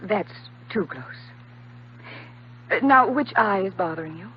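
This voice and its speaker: Woman playing a lively, prim nurse, old radio. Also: medium pitch, unhurried, close to an everyday voice. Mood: coy, scolding. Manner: polite, coy, lightly scolding. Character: prim, teasing young female ship's nurse. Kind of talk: 1950s radio drama acting. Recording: dull, faintly noisy old radio recording.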